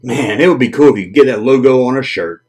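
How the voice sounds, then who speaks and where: A man's voice: mid-range voice, fast talker. A man hosting a collector video, talking casually and joking about odd vintage toys; his first language is Japanese. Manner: animated, joking, chatty. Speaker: toy collector channel host.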